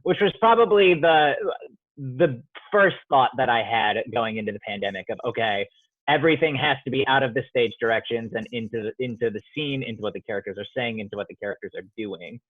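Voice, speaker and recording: Young adult man, reflective interviewee, halting and searching, mid-pitched, dry but muffled lo-fi recording. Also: no room sound. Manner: halting, searching for words, frequent "like". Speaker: student playwright being interviewed.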